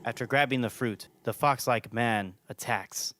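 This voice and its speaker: A man's voice: medium pitch, a little slow, a bit bright, dry recording. A man hosting and narrating a scripted audio drama podcast.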